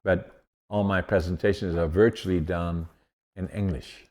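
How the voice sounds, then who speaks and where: Low, mellow-voiced host. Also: male voice, steady tone, unhurried. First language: Dutch. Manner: long, meandering questions. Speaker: podcast host. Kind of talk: conversational interview.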